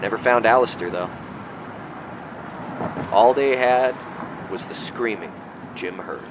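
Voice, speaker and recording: Man playing teasing boss over loud background noise. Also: on the low side, takes it slowly. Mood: smug, teasing, then grave. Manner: folksy, teasing, needling. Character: cocky male boss briefing a young intern. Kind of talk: conversational radio drama acting.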